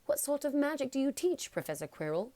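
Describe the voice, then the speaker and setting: A woman's voice: high-pitched, big pitch swings, brisk, mellow, dry recording, pitched above the performer's own voice. A woman voicing an earnest, curious young boy in a storybook.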